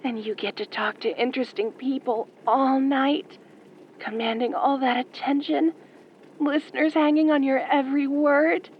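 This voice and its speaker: A woman's voice: high-pitched, fairly even intonation, normal speed, lightly acted. A woman playing a starstruck, sweetly eerie young caller to a radio show, gushing in a sugary way over a muffled line. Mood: gushing, eager. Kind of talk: radio call-in roleplay. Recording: muffled phone-in line with faint noise.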